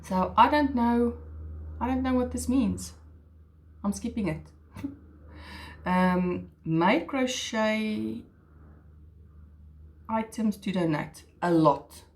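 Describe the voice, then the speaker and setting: A woman's voice: a little high, slow pace, long pauses. A middle-aged woman, an Afrikaans speaker, hosting her crochet channel in a chatty, self-deprecating way with hesitant restarts. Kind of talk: casual chat.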